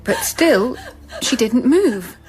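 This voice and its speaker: Genteel, highly strung Englishwoman. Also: lively intonation, takes it slowly, a little mellow, faint music behind, poor audio. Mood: shaken, haunted. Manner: well-spoken, hesitant, emotional. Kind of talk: radio drama acting.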